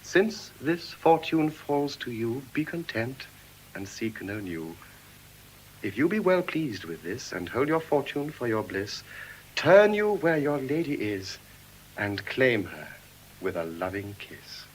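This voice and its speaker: An actor with an English RP accent playing an earnest, romantic young Venetian lord in classical Shakespearean verse, with measured theatrical delivery. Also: male voice, medium pitch, big pitch swings, low-quality recording. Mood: humbly hopeful. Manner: measured, theatrical, deliberate declamation. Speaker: stage actor. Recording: hissy vintage audio drama recording.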